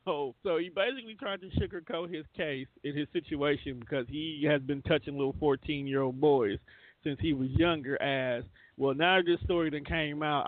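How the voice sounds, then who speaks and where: A man hosting a radio show with casual, rambling, colloquial celebrity-news banter and some swearing. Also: on the high side. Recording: thin phone-like sound, roomy echo.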